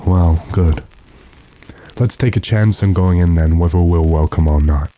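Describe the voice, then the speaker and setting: Man playing a deep, dark-voiced commanding gentleman. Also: unhurried, no echo. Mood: resolute. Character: weary, decisive gentleman master lost in a storm. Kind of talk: old-time radio drama acting.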